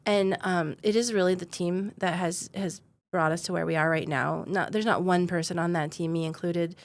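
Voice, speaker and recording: Laid-back woman with a low voice. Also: fairly even intonation, moderate pace. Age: 40s. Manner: easygoing, laughs often, many um fillers. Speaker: guest, defense company founder. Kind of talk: conversational interview storytelling.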